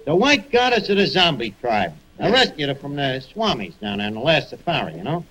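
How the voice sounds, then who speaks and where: A man's voice: fairly high pitch, sing-song, a little fast, a bit bright. An actor playing a cocky, bluffing man with streetwise slang in a 1940s radio comedy. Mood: defensive boasting. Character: cocky bluffing wisecracking man spinning tall safari tales. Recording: noisy old radio broadcast recording.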